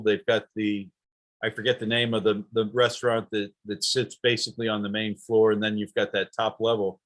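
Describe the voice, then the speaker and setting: A man hosting a golf podcast interview, chatting enthusiastically and asking friendly questions. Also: medium pitch. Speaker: podcast host. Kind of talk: casual interview chat.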